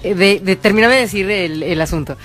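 A woman hosting a casual radio interview, relaxed and drawn out, with fillers. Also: mid-range voice, fairly quick.

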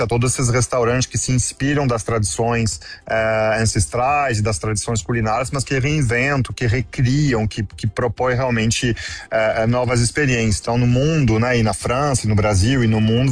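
A man's voice: mid-range voice, dry recording. Middle-aged man, enthusiastic guest. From France. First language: French. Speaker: interview guest working in gastronomy. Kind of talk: conversational interview answers.

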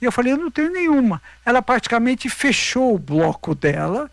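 A man's voice: very high voice, big pitch swings, a little fast, gravelly, no room sound. A middle-aged man with a Brazilian accent telling anecdotes in a casual interview, emphatic and repeating himself. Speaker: interview guest, veteran marathon runner.

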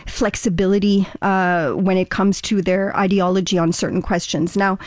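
A woman's voice: mid-range voice, flat delivery, normal speed, no echo. Chatty woman on a dry studio mic. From Ontario, Canada. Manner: chatty, earnest, many fillers and restarts. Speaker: political candidate, interview guest. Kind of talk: conversational interview answers.

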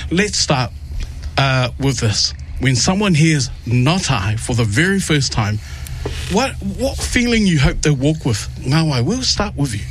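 Male radio host, fairly high voice, loose and rambling, greets guests warmly. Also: very animated, measured pace, slight background noise. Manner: rambling, repeats words and restarts often. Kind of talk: warm casual interview with Pacific greetings.

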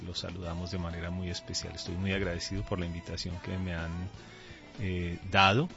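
Deep-voiced middle-aged Colombian man. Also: little pitch movement, a little fast, vocal fry, music in the background. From Colombia, raised there from age two. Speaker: pastor, interview guest. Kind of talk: radio interview, conversational testimony. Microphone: studio microphone. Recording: dull, band-limited radio audio.

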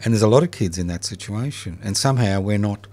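Teasing deep-voiced man. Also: fast, a little mellow. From Australia. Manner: teasing, jokey interviewer. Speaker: host. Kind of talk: casual radio chat.

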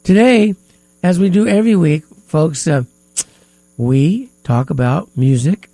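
A man hosting a live music talk radio show in a studio, sounding stuffy-nosed and pausing often with fillers. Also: a little high, warm tone, no echo, rough recording. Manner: hesitant, many filler pauses. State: stuffy nose. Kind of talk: casual talk radio chat.

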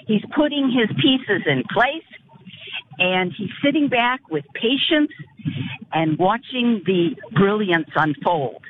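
An older woman from north of Chicago calling into a radio show, talking in a halting way and repeating words. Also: medium pitch, expressive. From northern Illinois. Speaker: caller. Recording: phone line with faint noise.

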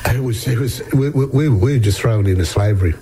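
A man, a guest on a radio interview, speaking haltingly with many repeated words. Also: mid-range voice, dark tone, a little hiss or hum. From north coast of New South Wales, Australia. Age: 60s. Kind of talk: personal testimony in a radio interview.

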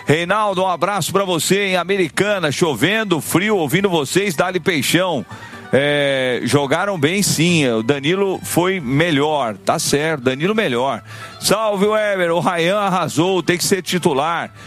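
A man's voice: on the high side, animated, a little slow, a bit bright, faint music behind. A man with a Brazilian Portuguese accent hosting a live radio sports call-in show, chatting and reading listener messages aloud.

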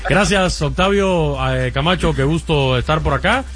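A man on sports talk radio, speaking in a steady, measured way as he promotes upcoming baseball broadcasts. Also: fairly high pitch, over music.

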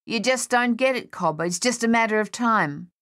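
A woman's voice: a little high, moderate pace, a bit bright, voice pushed up, lightly acted. A woman voicing a young Australian male soldier in an audiobook, shell-shocked and grieving, speaking in an anguished, insistent ramble. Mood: bitter, unhinged. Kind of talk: audiobook character voice.

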